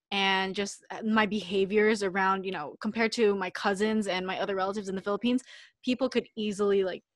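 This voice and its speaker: A woman's voice: medium pitch, a bit bright, no room sound, studio quality. A woman from the United States co-hosting a casual podcast chat, talking in a reflective, loose way with lots of fillers. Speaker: podcast co-host.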